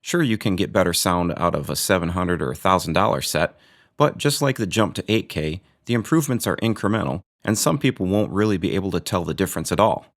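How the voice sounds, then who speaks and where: A man's voice: sits low, lively intonation, quick pace. A man hosting a gear review video, narrating from a script with dry, joking asides.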